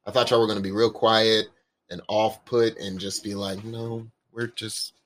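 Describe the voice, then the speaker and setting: A man's voice: fairly low pitch, level tone, moderate pace, crowd noise. A man in his late twenties co-hosting a casual chat, laid-back and joking, full of slang. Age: 20s. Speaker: podcast co-host.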